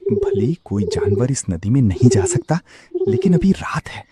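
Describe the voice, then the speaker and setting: Man voicing a meek, husky animal underling. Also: medium pitch, wildly swooping pitch, moderate pace, mellow, no echo, studio quality, full character voice. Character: meek, pleading male jungle animal underling. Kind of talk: animated children's fable acting.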